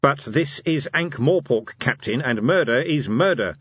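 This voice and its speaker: Guy doing stern sardonic watch commander, husky and terse, fantasy audiobook, dry sound. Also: medium pitch, lively intonation, unhurried, fairly bright tone, no room sound, lo-fi sound, voice pushed up. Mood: tense.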